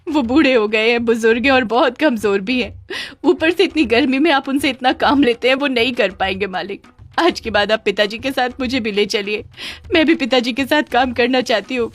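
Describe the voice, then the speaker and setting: A woman's voice: high-pitched, moderate pace, no echo, voice pushed up, lightly acted. A woman voicing a young cow, a devoted daughter, speaking with earnest, emotional urgency in a cartoon story. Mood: pleading. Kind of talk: Hindi cartoon story voice acting.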